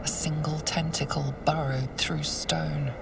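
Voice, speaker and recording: A woman playing an ominous narrator of a fantasy video game, hushed and breathy. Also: deep voice, flat delivery, unhurried, far from the mic, very noisy, exaggerated voice. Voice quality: breathy, often near a whisper.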